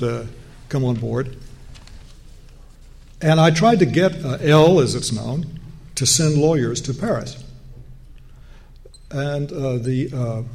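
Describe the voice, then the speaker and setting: A soft-voiced man giving a formal panel address, measured and deliberate, with pauses. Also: medium pitch, gravelly. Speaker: panelist, law professor.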